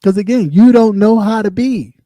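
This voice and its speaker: A man's voice: high voice, steady tone, normal speed, mellow, dry recording, clean studio sound. A man with a light voice, ranting bluntly and casually as a livestream host, repeating himself as he goes. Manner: blunt and sassy, talks in loops and repeats himself. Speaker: live stream host; former radio host.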